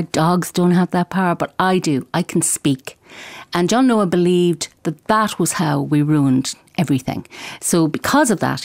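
A woman with a lowish voice talks about her book, thoughtful and earnest, pausing now and then to find her words. The sound is dry and close. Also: gravelly, darkish tone, no room sound. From Ireland. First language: Irish. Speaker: author. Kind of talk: explaining her book in conversation.

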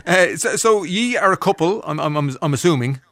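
A man's voice: on the high side, expressive, fairly quick, fairly bright tone, dry recording, studio quality. An Irish man hosting a radio show, chatting easily and reading out listener messages. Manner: easygoing, chatty, reads messages aloud. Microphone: studio microphone.

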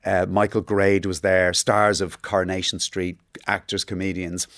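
A man's voice: low-pitched, level tone, moderate pace, fairly bright tone. A man in his fifties, a chatty guest telling stories in an interview and laughing easily. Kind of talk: relaxed interview chat.

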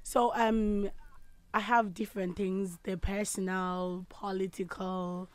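A young woman from Zimbabwe, a spoken word artist, giving short, soft-spoken answers with fillers in a radio studio. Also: medium pitch, flat delivery, slow pace, dry recording. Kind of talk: casual interview answers.

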